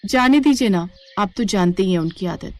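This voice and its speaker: A woman's voice: mid-range voice, expressive, normal speed, a little hiss or hum, close to an everyday voice. A woman voicing a brave, caring and fiercely protective village wife and mother in a moral story, firm and feisty. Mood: reassuring, determined.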